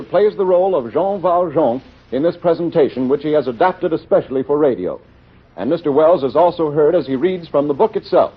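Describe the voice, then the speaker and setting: A man's voice: fairly high pitch, breathy edge. A man announcing a programme in the formal style of 1930s radio. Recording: muffled old radio broadcast recording.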